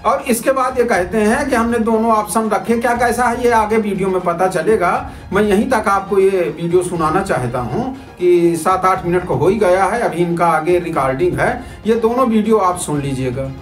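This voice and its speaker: A man's voice: high voice, moderate pace, hardly any pauses. A man giving informal, argumentative commentary to viewers, repeating himself and posing rhetorical questions. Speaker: citizen journalist presenting a video report. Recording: roomy echo with music underneath.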